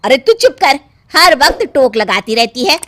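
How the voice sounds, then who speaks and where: Lady doing older cartoon saas, bossy and gullible, pitched way up high. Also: very animated, moderate pace, background noise. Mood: brash. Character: gullible, bossy older mother-in-law, easily impressed.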